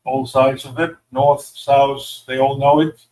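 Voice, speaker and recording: A man who runs a crepe restaurant, chatting casually about his menu and pausing often with fillers. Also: medium pitch, level tone, a little mellow, roomy sound, recorded from a distance, low-quality recording. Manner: hesitant, many uh fillers while describing dishes. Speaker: restaurant owner. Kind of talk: casual chat.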